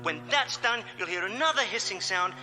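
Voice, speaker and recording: A high-pitched, bright-voiced male mastermind in a radio drama. He is precise and self-assured, with formal, pedantic phrasing, heard in a reverberant hall. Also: expressive, normal speed, full character voice. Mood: calm, instructing. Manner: formal, clipped, pedantic diction. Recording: hall-like reverb with faint music.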